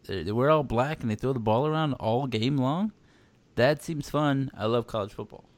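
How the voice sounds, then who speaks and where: A man's voice: mid-range voice, moderate pace, dry recording. A man from Texas in casual sports chat, rambling with many restarts and fillers.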